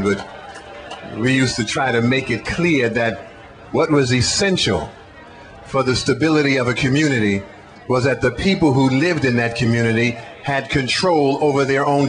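Older man giving an emphatic rally speech. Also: medium pitch. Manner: deliberate, emphatic. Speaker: activist speaker. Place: outdoor city street stage. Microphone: handheld PA microphone.

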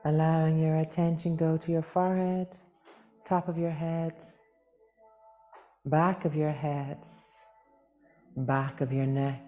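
A woman leading a guided meditation as a yoga teacher, speaking gently and soothingly with long pauses, unhurried. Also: low voice, little pitch movement, highs cut off, soft background music.

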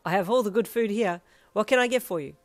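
A woman plays a hearty male noodle shop owner in an anime story. He is loud, bright and eager to please, with a merry bounce to his words. Soft music sits underneath. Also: medium pitch, fast talker, dry recording, lightly acted. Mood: jolly.

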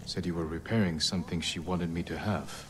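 A man's voice: sits low, fairly even intonation, fairly quick, background noise, close to an everyday voice. A man playing a solemn, honourable samurai lord in a video game, restrained and grave. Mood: calm, courteous. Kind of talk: dramatic video game acting.

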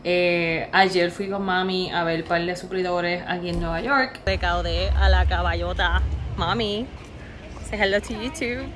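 A woman in her thirties with a Puerto Rican accent, vlogging casually at home and in her car, chatty and expressive, slipping into English mid-sentence. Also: lowish voice, unhurried, a little hiss or hum. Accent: Puerto Rican Spanish. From Puerto Rico. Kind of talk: casual vlog, Spanish mixed with English. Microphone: camera at arm's length and dashboard camera. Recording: music bed under the voice.

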